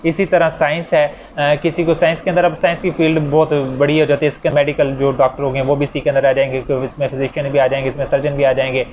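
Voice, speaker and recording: Male law lecturer, Pakistani Urdu accent, slightly high voice, conversational teaching manner, faint background noise. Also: little pitch movement, fast talker, few pauses, a little mellow, low-quality recording. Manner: conversational, explains with examples.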